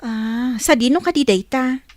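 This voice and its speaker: A woman's voice: high voice, a little slow, no echo. A woman playing a perky, inquisitive young friend in an Ilocano radio drama. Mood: curious, perky.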